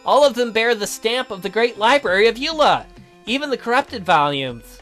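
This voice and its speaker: A man acts out a resentful young prisoner stuck in a boy's body. The voice is pitched high and swings widely as it accuses and complains in a theatrical, sarcastic way, with game music behind it. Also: lively intonation, moderate pace, a bit bright. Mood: accusing. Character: bitter imprisoned youth stuck in a child's body, sarcastic, resentful. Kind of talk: visual novel character read-aloud.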